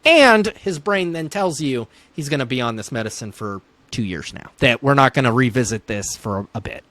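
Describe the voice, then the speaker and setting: A man's voice: medium pitch, expressive, normal speed, fairly bright tone. A man speaking as a neurologist guest in an interview, thinking aloud as he explains clinical points conversationally.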